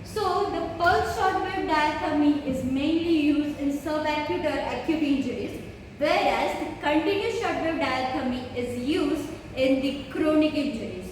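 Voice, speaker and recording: A woman's voice: sits high, measured pace. A young woman with an Indian accent gives a formal, prepared educational presentation, reciting it point by point as a student presenter. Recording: very echoey room, distant mic, noisy.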